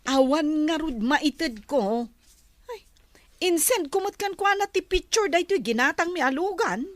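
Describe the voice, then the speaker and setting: Woman acting a fussy stingy ilocano big sister, high voice, swoops all over, showy, comedy audio drama. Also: expressive, measured pace, dry recording. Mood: stingy, boastful. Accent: Ilocano, Philippines. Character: boastful, stingy older sister, a fussy schoolteacher.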